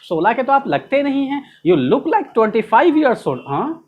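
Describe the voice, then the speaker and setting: A man's voice: very high-pitched, big pitch swings, slow, highs cut off. A man teaching spoken English in Hindi, playfully acting out sample dialogues.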